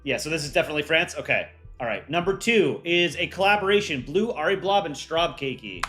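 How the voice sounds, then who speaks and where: A man's voice: a little high, lively intonation, fairly quick, fairly bright tone, soft background music. A man hosting an art contest on a livestream, reading out viewer chat and answering it offhand in casual talk.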